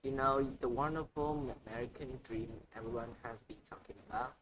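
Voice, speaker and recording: A cheerful man hosts a scripted podcast for English learners, friendly and upbeat throughout. His voice sits at an ordinary middle pitch. A steady ambient noise runs behind him. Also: a little fast. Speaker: podcast host. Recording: noisy ambient background.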